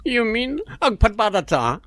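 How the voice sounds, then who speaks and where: A woman with an Ilocano Filipino accent playing a flamboyant, gossipy young coworker, with drawn-out campy delivery and English slang, in a radio comedy. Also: on the high side, sing-song, a bit bright, no echo. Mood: dismayed.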